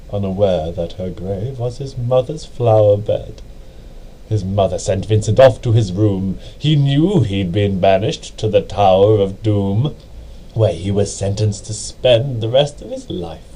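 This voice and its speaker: Male poem narrator, slow, husky, low-pitched, theatrical, brooding, deliberate. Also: warm tone, poor audio. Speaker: amateur reader. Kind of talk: dramatic scripted poem narration.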